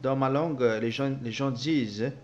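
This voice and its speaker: A man interpreting a personal testimony, speaking haltingly with repeated words and self-corrections. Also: medium pitch, a little mellow, dull audio. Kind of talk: spoken translation of a personal testimony.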